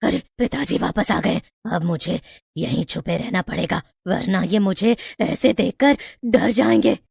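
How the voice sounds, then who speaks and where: A woman voices a young witch ghost in a cartoon story. The voice is very husky and full of gravel, brooding and sorrowful, with dramatic delivery. It sounds close and dry. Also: on the low side, a little slow, darkish tone, dry recording, full character voice. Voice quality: raspy, creaky. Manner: dramatic, self-questioning.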